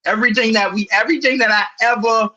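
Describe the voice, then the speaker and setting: Brief: male co-host, animated and argumentative, sharp higher voice, street slang. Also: fast, highs cut off. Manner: animated, argumentative, heavy slang, repeats "bro". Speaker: podcast co-host. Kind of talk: heated casual sports banter.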